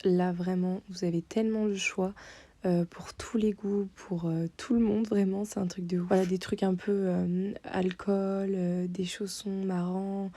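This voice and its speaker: A young woman talks over a site tour in a relaxed, mellow voice, pausing on fillers as she goes. The recording is dry and close. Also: mid-range voice, no room sound. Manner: hesitant, many "euh" fillers. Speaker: vlogger doing screen-tour voice-over. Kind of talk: casual voice-over.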